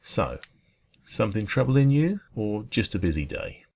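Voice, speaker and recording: A man playing a friendly, caring dragon who runs a cosy café, talking gently and reassuringly in an ASMR roleplay. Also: on the low side, very animated, measured pace, husky, exaggerated voice. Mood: friendly, relaxed.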